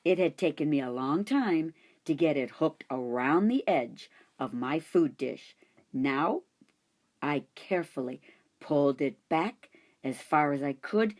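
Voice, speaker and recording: A woman reading a children's chapter book aloud in an expressive storytelling manner. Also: deep voice. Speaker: audiobook reader. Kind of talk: children's audiobook narration.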